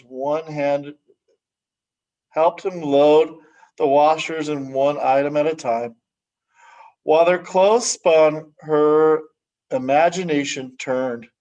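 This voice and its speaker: A man with a fairly high voice reads a children's picture book aloud. He takes his time, phrasing dramatically and letting the ends of sentences drop to a hush. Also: low-quality recording. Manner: measured, dramatic phrasing with hushed sentence endings. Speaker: story reader.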